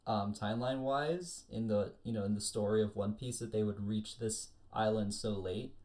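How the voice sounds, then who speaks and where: A man's voice: fairly low pitch, fairly even intonation, moderate pace, dark tone. A man chatting casually as a panelist on an anime discussion show, explaining things with detailed asides. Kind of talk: casual chat.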